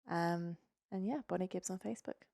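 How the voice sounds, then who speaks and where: Young woman guest from norway, low-ish voice, dead dry sound, loose and chatty. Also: moderate pace, vocal fry, no room sound. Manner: relaxed, lots of ums, laughs easily. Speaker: guest, physiotherapist and weightlifter. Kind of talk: casual interview chat.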